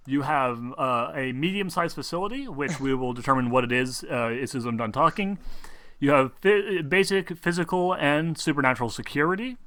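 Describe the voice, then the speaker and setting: Male game master, bright mid-pitched voice, dry recording, explains rules and keeps players in line. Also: animated, fairly quick, no echo.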